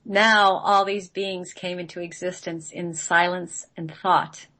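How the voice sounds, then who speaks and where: A woman reading radio play narration in a measured, solemn manner. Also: fairly low pitch, fairly even intonation, sharp tone. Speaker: guest, playwright reading own play.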